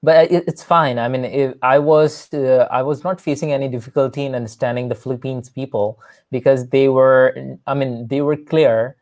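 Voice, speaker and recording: A man's voice: mid-range voice, fairly even intonation, moderate pace, darkish tone, no echo, studio quality. A man from Pakistan, an English teacher, talking earnestly in a casual conversation and often restarting with "I mean". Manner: earnest, repeats himself with "I mean".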